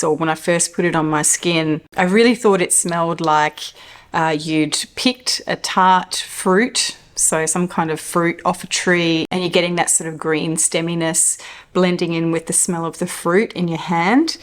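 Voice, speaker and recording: A woman in her fifties, slightly croaky from a cold, chatting enthusiastically to camera about perfumes at home and laughing often. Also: lowish voice. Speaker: perfume reviewer. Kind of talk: casual to-camera review. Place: home living room.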